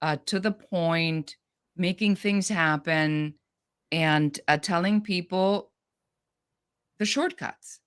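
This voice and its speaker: A middle-aged woman with a German accent talks business in a blunt, straight-up manner. Her voice sits low for a woman. She speaks with lively conviction as an interview guest. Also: takes it slowly, pauses often, clean tone. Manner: direct, no-nonsense, animated. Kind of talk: podcast interview.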